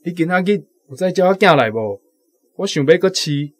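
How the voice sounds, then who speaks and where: An actor with a Taiwanese accent playing an excitable village uncle in a radio drama. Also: male voice, high voice, a little fast, faint music behind. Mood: eager.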